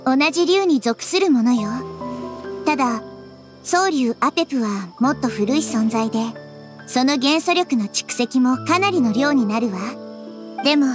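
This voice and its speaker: High-voiced, wise child goddess. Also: female voice, expressive, unhurried, music in the background. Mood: thoughtful. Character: small childlike nature goddess, wise and thoughtful. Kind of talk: scripted game dialogue.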